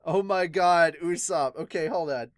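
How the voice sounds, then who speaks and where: A woman's voice: on the low side, a little slow, no echo, studio quality. A woman hosting an anime podcast, giggly and exclaiming as she reacts casually to a manga. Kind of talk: casual excited reaction and read-aloud.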